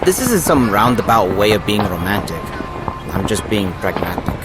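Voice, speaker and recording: A practical laid-back sidekick, lively pitch, audio drama with loud music and traffic. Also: male voice, moderate pace. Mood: easygoing. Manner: casual, reassuring. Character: easygoing, practical male companion.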